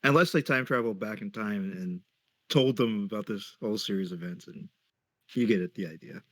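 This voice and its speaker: A man giving casual joking commentary among friends, dropping wry deadpan asides. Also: on the low side, brisk. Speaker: reader and co-commentator on a stream.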